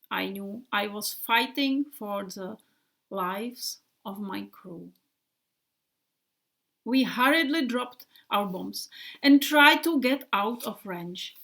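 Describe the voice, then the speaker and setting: A woman voicing a male bomber airplane who tells his wartime tale in a slow, dreamy way with hushed passages. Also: a little high, vocal fry, exaggerated voice.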